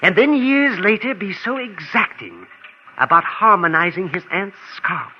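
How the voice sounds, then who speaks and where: A muffled, faintly noisy old radio recording of a shrewd attorney. His fairly high, very expressive voice is fussy and formal, probing with quiet precision. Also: a little slow. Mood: quietly accusing. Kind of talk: 1940s radio suspense acting.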